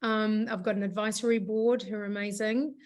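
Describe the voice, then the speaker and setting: A woman with a hoarse voice, a business founder chatting in an interview, rambling with many fillers and restarts. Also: mid-range voice, fairly even intonation, a little fast. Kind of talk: casual interview chat.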